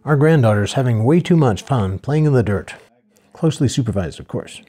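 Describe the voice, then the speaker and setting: Older male homesteader, relaxed voice-over, warm low-key tone. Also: fairly quick, slightly rough. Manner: plain, steady, matter-of-fact explaining. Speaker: homesteader narrating a build video.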